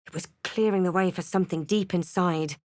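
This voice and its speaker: A woman playing a wry, brooding adventurer heroine in a fantasy video game, with a measured, dramatic delivery. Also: on the low side, steady tone, a little mellow, no room sound, studio quality, natural voice. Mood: brooding, solemn. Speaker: video game player-character voice actor. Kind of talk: scripted game narration and barks.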